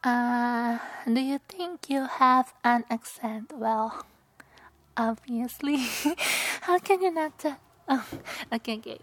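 Soft-spoken Indonesian woman, high voice. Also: slow pace. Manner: soft-spoken, slips into whispered asides, giggly. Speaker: vlogger. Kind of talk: casual accent-tag vlog, reading questions aloud.

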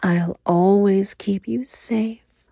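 A woman playing a doting, protective motherly lover, speaking softly in a cooing, often whispered way close to the mic. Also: mid-range voice, steady tone, very slow, gravelly, husky, dry recording, exaggerated voice. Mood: soothing, doting. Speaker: audio roleplay performer. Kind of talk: intimate whispered audio roleplay.

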